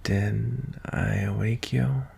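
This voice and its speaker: A performer voicing a shy, anxious young alien prince in an ASMR roleplay, speaking softly and hesitantly in a breathy, husky half-whisper. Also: medium pitch, fairly even intonation, heavily acted. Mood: timid, lonely. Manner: hesitant, halting, tender. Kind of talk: ASMR roleplay, soft intimate delivery.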